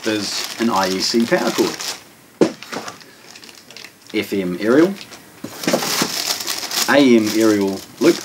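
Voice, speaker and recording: A man in his forties with a New Zealand accent, giving an unscripted product demonstration in a measured, matter-of-fact way with short, broken phrases. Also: lowish voice. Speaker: shop presenter of product unboxings. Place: carpeted indoor showroom room with shelves. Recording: distant microphone, clear background noise, handling clicks.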